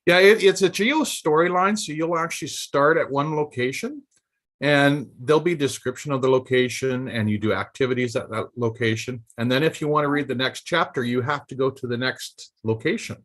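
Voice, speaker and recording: A man's voice: medium pitch, a little fast, fairly bright tone. A man from Canada chatting casually and explaining things informally on a video call. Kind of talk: casual chat.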